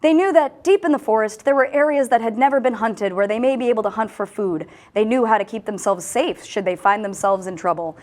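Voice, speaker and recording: A woman hosting a casual online news discussion, talking in an animated way and reading quotes aloud with a lift in her voice. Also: fairly high pitch, fairly quick, few pauses. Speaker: host.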